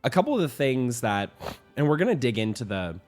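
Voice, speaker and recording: A young man hosting a weekly game news show, a little hoarse from a cold, talking in an upbeat, rambling way. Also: medium pitch, sing-song, brisk, a little mellow, faint music behind. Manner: upbeat, rambling, with frequent restarts and fillers. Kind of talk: casual game news commentary.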